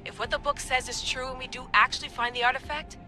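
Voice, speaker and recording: A woman's voice: high-pitched, steady tone, quick pace, pushed and bright, faint music behind. A woman playing a self-assured modern artifact hunter and team boss, earnest and firm, in a naturalistic game cutscene. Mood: determined.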